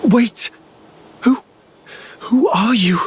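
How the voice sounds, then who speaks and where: An actor playing an earnest, lost young male protagonist in a video game, with a husky, breathy voice. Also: very high-pitched, drawn-out words, slight background noise, exaggerated voice. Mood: urgent, pleading. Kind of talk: video game dramatic acting.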